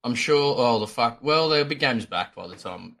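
A man's voice: medium pitch, expressive, normal speed, creaky, a bit bright. An Australian man leaving a rambling voicemail, swearing heavily and using laid-back slang. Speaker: caller.

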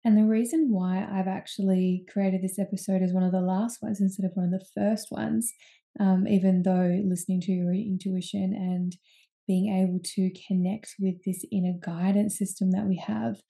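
A woman's voice: mid-range voice, little pitch movement, moderate pace, vocal fry, mellow. A young woman hosts her own podcast, talking casually and candidly with frequent ums and restarts. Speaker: podcast host. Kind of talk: casual solo podcast talk.

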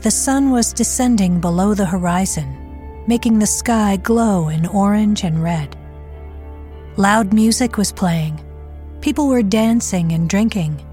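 A woman's voice: medium pitch, unhurried, warm tone, faint music behind. A woman narrating a scripted crime audio drama.

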